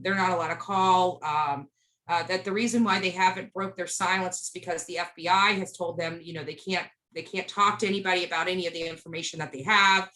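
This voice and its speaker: A woman with a bright, forward voice recounts things as an interview guest. She is blunt and emphatic, narrating in casual speech and slipping into quoted dialogue. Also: on the low side, low-quality recording. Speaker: interview guest, street protester. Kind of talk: animated casual storytelling.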